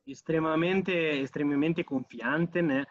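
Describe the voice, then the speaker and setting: An Italian man speaking as an interview guest, halting and restarting as he mixes Portuguese with Spanish. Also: on the high side, no echo, muffled recording. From Italy. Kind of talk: casual interview over a call.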